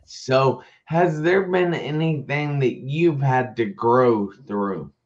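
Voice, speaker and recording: Slow-talking enthusiastic male host. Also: mid-range voice, dull audio. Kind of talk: live online talk show hosting.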